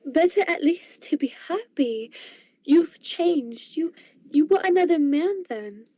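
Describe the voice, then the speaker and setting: High, soft-toned, sorrowful young woman, acted by a woman. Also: animated, slow pace, dry recording. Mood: sad, resigned. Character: sorrowful, gentle young woman ending her engagement. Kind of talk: amateur radio drama acting. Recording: dull lo-fi amateur recording.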